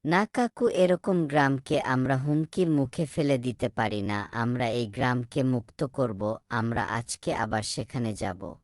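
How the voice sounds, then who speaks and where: A man's voice: medium pitch, a little slow, dry recording, clean studio sound, lightly acted. A man voicing a brave young village friend who rallies his companions in a cartoon story. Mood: determined. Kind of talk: cartoon character dialogue.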